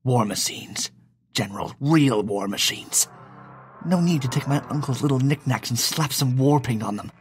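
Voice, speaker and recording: A man playing a cocky, needling scientist in an audio drama, sardonic, with a husky voice. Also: medium pitch, moderate pace, faint music behind, natural voice. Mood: smug, sly. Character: cocky, mocking male scientist angling for power.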